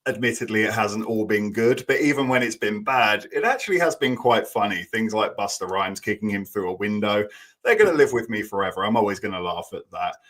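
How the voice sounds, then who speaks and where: A man making a measured, organized argument as a contestant on an online panel. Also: fairly low pitch, lively intonation, a bit bright. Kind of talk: prepared argument on a video call.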